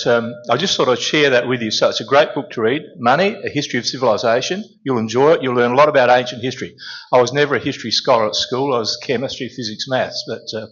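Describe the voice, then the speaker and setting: Man, club member, husky, casual meandering speech, lo-fi recording. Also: mid-range voice, a bit bright. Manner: chatty, meandering. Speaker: club member giving a vote of thanks.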